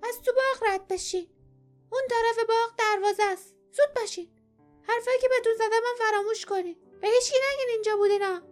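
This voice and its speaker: A woman's voice: extremely high pitch, soft background music, voice pushed up. A woman voicing a fierce, suspicious old woman in a storybook, with a scolding, colloquial way of talking. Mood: urgent, fearful. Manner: scolding, threatening, colloquial speech. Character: fierce, suspicious old woman guarding her orchard with bees. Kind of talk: dramatized audiobook character voice.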